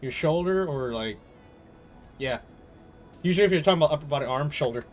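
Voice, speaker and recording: Jokey man streaming, slightly high voice. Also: fairly quick, slight background noise. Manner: animated storytelling, jokey, swearing, laughs often. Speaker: game livestreamer. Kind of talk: casual chat with viewers while gaming. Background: game music.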